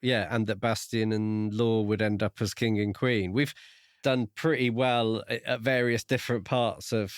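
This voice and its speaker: A man co-hosting a casual book discussion, reasoning things through in a measured, tentative way. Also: on the low side, steady tone, clean tone, no room sound, clean studio sound. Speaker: podcast co-host.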